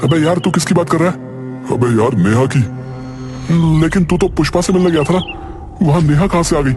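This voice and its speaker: A man's voice: on the high side, brisk, close to an everyday voice. A man voicing a protective young male friend who talks firmly and scolds, over background music. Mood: worried. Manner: firm, reassuring, scolding.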